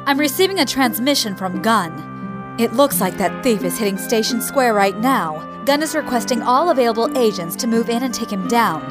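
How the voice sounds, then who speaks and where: A woman's voice: fairly high pitch, expressive, moderate pace, natural voice. A woman playing a sly, teasing, sardonic secret agent in a radio play. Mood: brisk. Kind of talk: scripted radio play acting. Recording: loud music behind the voice.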